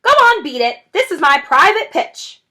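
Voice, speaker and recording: A woman's voice: very high voice, sing-song, moderate pace, bright, forceful tone, pitched above the performer's own voice, heavily acted. A woman voicing a small, territorial male rat from a children's storybook, snapping and shrieking indignantly. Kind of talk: children's storybook character reading.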